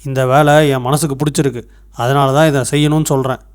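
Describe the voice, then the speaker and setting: A man voicing a frustrated, stubborn character in an animated moral story, speaking in an aggrieved, emphatic way. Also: medium pitch, quick pace, natural voice. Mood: defiant. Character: frustrated, stubborn man defending the work he wants.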